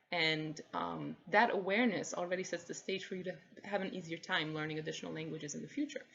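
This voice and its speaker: A young adult woman, a native Polish speaker from Poland, explaining thoughtfully in a casual talk to camera, often pausing with ums and restarting phrases. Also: fairly low pitch, highs cut off. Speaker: vlogger, parent.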